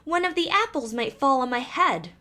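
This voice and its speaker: Woman reading as a haughty lazy girl, animated. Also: high-pitched, normal speed, voice pushed up. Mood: scornful. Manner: scornful, dismissive. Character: lazy, haughty, dismissive girl of fairy tale.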